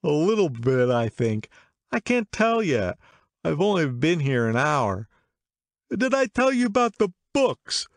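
A man voicing an excitable, tipsy middle-aged man who insists over and over, in a much higher voice than his own. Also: measured pace, vocal fry, no room sound, studio quality, full character voice. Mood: excited insistent.

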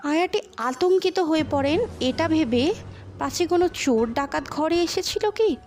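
A woman narrating a scripted ghost story in an expressive, dramatic storytelling manner. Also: high-pitched, normal speed, faint music behind.

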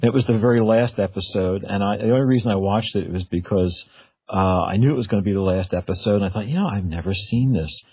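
A man's voice: lowish voice, quick pace, slightly rough. A man hosting a radio show, chatting casually and hesitantly as he searches for words. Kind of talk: casual interview chat. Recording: dull, lo-fi, dry radio audio.

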